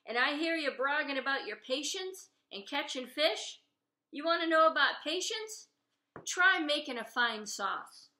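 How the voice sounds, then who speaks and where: A woman's voice: high voice, lively intonation, a little slow, bright, forceful tone, pitched above the performer's own voice, full character voice. A woman voicing a brash, feisty female diner cook in a storybook, arguing and bellowing. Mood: scolding, boastful. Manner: bellowing, argumentative, teasing. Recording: roomy, echoey room sound.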